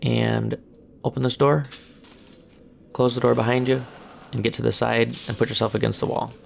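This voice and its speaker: Hoarse man calmly guiding a game. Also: mid-range voice, moderate pace, slight background noise. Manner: calm step-by-step instructions. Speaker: gameplay walkthrough narrator. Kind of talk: casual game walkthrough commentary.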